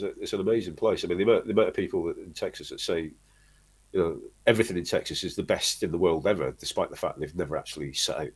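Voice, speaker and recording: A middle-aged man from Manchester, telling long rambling stories from his life in a relaxed chat, with lots of restarts. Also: low-pitched, breathy edge. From Manchester, England. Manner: rambling storyteller, restarts often, chuckles. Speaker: guest, band singer. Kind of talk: casual interview chat.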